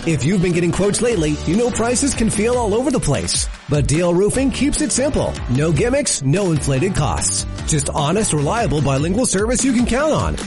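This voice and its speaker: A man reading a scripted radio commercial as an upbeat sales pitch. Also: sing-song, normal speed, music in the background. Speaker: ad voiceover.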